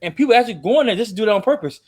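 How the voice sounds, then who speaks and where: A male host chats casually in a high-pitched voice. His intonation swings widely as he talks, with the animated manner of someone telling a story to friends. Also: very fast. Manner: animated storyteller, acting out quotes. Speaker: podcast host. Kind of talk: casual chat.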